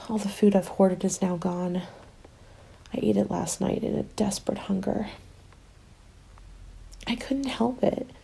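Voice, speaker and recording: A woman playing a starving, bitter former nurse surviving a zombie apocalypse, in a soft, hushed ASMR roleplay that drifts into whispers. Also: mid-range voice, full character voice. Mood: bitter, conflicted. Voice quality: creaky, soft. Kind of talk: ASMR dramatic roleplay monologue. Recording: music under the voice. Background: music.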